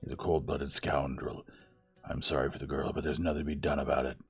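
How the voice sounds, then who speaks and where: A man voicing a gruff, hard-nosed investigator in an audiobook, clipped and matter-of-fact. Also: extremely low pitch, little pitch movement, brisk, soft background music, heavily acted. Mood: stern.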